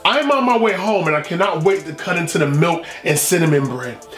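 A man's voice: fairly high pitch, fairly quick, a bit bright, faint music behind. A middle-aged man hosting a food vlog, reading reviews aloud with playful, emphatic commentary and repetition. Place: small furnished room indoors. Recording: echoey indoor room.

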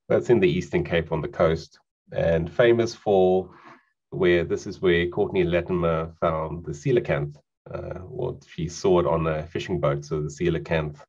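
A middle-aged man with a South African accent gives a relaxed online presentation with lots of fillers, over a video call microphone. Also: low voice, breathy edge, muffled recording. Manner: relaxed, conversational, frequent fillers.

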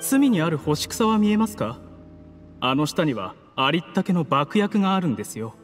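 男性の声優がゲームで演じる、礼儀正しく落ち着いた口調で脅す冷酷な策士の役人。. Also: male voice, on the high side, very animated. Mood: calculating menace. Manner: courteous, measured, menacing formal speech. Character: coolly ruthless scheming male official, polite betrayer. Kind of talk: dramatic game cutscene acting in Japanese anime manner. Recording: long reverb, music underneath.

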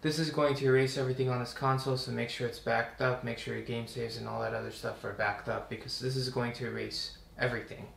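Gravelly-voiced young man, roomy home recording. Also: medium pitch, fast, few pauses, slight background noise. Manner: relaxed, chatty, talks while working. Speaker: tutorial host. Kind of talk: casual step-by-step tutorial. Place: living room with TV.

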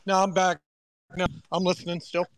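A man's voice: high-pitched, little pitch movement, moderate pace, a bit bright, low-quality recording. A man from Spain chatting casually as a participant in a live audio chat. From Malaga, Spain.

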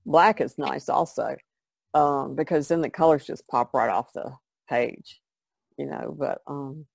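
Creaky, low-pitched woman chatting casually. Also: a little slow, no echo. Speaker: podcast guest artist. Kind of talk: casual conversation explaining craft.

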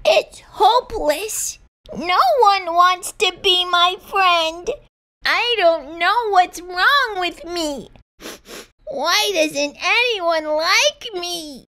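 A woman voicing a lonely young giraffe longing for friends in an earnest, childlike, expressive storybook style. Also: very high voice, extremely slow, voice pushed up, full character voice. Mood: dejected. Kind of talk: children's storybook character reading.

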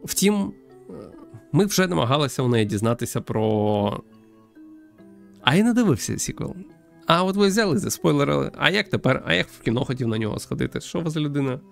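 Young man, gravelly fry, casual game commentary, hesitant, wry, music underneath. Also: a little high, very animated, brisk. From Ukraine. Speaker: streamer playing a visual novel. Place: small room at home with string lights.

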